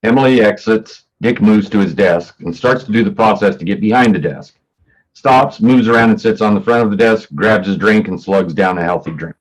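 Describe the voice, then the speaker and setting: Male narrator for a staged reading: low pitch, scripted scene and action descriptions. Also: normal speed, poor audio. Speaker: stage directions reader.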